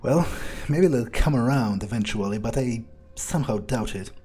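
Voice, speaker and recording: A man's voice: medium pitch, normal speed, mellow, breathy edge, faint music behind, close to an everyday voice. A man role-playing a cynical, arrogant vampire in a game playthrough, musing aloud in a casual, hesitant way. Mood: dismissive, musing. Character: cynical, arrogant male vampire thinking aloud, coolly skeptical. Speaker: let's player role-playing in character. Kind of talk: in-character game playthrough commentary.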